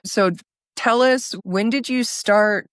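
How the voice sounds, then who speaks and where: A woman's voice: fairly high pitch, slow pace, clear, resonant tone, no echo, clean studio sound. A woman hosting an interview show, introducing and questioning her guest. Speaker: host.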